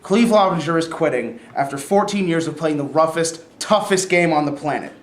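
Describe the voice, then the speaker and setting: A man's voice: fairly high pitch, moderate pace, close to an everyday voice. A man playing a weary veteran football player, plain-spoken, evasive and defensive, in a staged reading in an echoey room. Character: weary, guarded veteran football player announcing his retirement.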